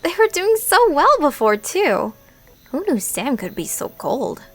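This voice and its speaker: A woman's voice: high voice, big pitch swings, measured pace, faint music behind. A woman playing a casual, chatty narrator who comments on an audio drama as an invested listener. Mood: dismayed, musing. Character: chatty female narrator, emotionally invested in the story.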